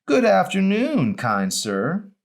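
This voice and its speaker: A man voicing a spirited, sharp-tongued teenage girl in an audiobook, teasing and forthright. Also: high voice, wildly swooping pitch, slow, creaky, pitched above the performer's own voice, exaggerated voice. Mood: playful.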